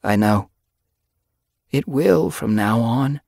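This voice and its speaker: A man's voice: medium pitch, animated, slow, creaky, a little mellow, no echo, clean studio sound, pitched above the performer's own voice, heavily acted. A man voicing a young expectant mother cat in an audiobook story, anxious and defiant, with an earnest, emotional delivery. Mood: miserable. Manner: emotional, protesting.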